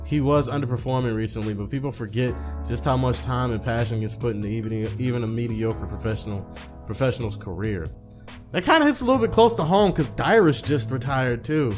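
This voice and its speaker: Casual, slangy man over music. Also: mid-range voice. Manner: slangy, informal, repeats phrases when misreading. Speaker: gaming video host. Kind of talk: reading visual novel text aloud with casual commentary.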